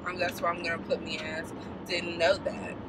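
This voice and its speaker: Low-pitched young American woman, noisy car. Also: level tone, moderate pace. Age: 20s. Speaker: vlogger. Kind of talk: casual chat, storytelling. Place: inside a moving car. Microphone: dashboard camera. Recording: noisy moving car, distant mic.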